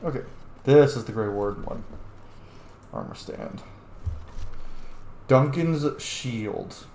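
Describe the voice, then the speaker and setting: A man's voice: mid-range voice, animated, slow, a little mellow. A man hosting a gameplay video with casual, informal commentary, muttering to himself as he goes. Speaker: gaming video host. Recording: roomy echo, faint background noise.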